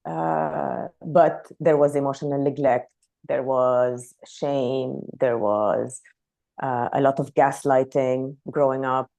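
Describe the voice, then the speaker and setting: A woman from Lebanon who grew up speaking Arabic, talking as a podcast guest in a reflective, soft-spoken way. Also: a bass voice, fairly even intonation, slow pace, vocal fry. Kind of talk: casual conversational interview.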